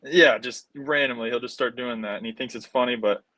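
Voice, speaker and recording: A man's voice: mid-range voice, a little fast, pushed and bright, no room sound. A young man giving brief, polite, laid-back answers as an athlete guest in a casual interview. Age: young adult. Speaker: baseball player guest.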